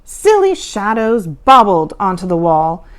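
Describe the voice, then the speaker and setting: A woman's voice: mid-range voice, sing-song, unhurried. A woman reading a children's storybook aloud as a storyteller, theatrical and suspenseful. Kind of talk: dramatic children's storybook narration.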